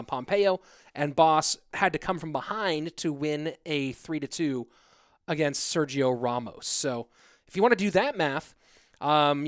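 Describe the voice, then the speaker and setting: A man's voice: on the high side, dry recording, studio quality. Laid-back male esports streamer. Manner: relaxed, rambling, answers viewer questions. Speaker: esports caster reading chat. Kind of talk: casual livestream chat.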